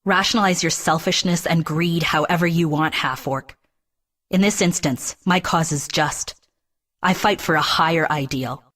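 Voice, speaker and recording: An actress playing a stern, idealistic mercenary captain in a fantasy game, indignant and challenging. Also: female voice, deep voice, moderate pace, a bit bright. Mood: righteous.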